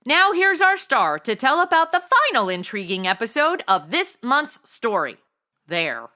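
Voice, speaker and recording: A woman's voice: on the high side, sing-song, measured pace, bright, forceful tone, exaggerated voice. A woman acting as a sassy, flippant modern young woman in a comedy audio drama, sarcastic and exclamatory. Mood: flippant, complaining.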